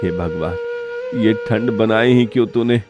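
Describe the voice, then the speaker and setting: A man's voice: fairly low pitch, unhurried, lo-fi sound, close to an everyday voice. A man voicing a poor, humble village labourer in a cartoon, pleading and earnest. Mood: despairing. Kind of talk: Hindi moral-story cartoon dialogue. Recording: music behind the voice.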